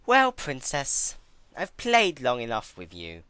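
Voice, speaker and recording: A performer voicing a cruel, cold female pony tormentor with menacing, drawn-out emphasis in a fan fiction reading. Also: mid-range voice, huge pitch swings, sharp tone, smooth, no echo, voice pushed up, exaggerated voice. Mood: gloating menace.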